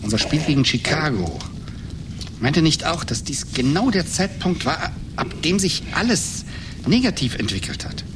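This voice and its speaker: A man's voice: a little high, very animated, normal speed. Brooding middle-aged man, noisy recording. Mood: troubled, bitter. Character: disillusioned middle-aged male bookseller, brooding and philosophical. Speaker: actor in a radio play. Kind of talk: 1980s German radio drama acting.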